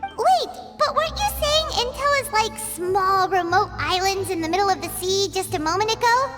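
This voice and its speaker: A woman voicing a small, chirpy, curious childlike fairy companion in a video game, squeaky and eager. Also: animated, normal speed, in a large hall, loud music behind the voice. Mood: puzzled.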